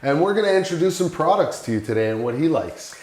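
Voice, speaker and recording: A man's voice: on the high side, big pitch swings, fast talker, a little mellow, roomy sound. A man hosting a casual product chat, easygoing and agreeable, recorded in a 3D printing shop. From Canada. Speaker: host.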